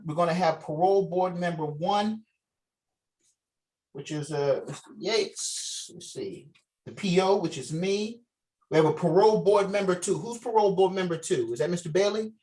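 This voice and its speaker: A man with a fairly high voice runs a table read as its director. He is casual and jokey, stopping and repeating himself as he directs, and sounds a little far from the mic on a video call. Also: animated, a little mellow. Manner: halting, repeats phrases as he directs.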